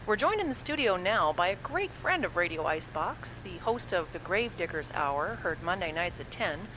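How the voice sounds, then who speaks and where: An actress playing Abby, a cheerful morning-show host on a small-town radio station, with a bright, upbeat announcer delivery. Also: female voice, fairly low pitch, very animated, moderate pace. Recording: noisy audio drama recording.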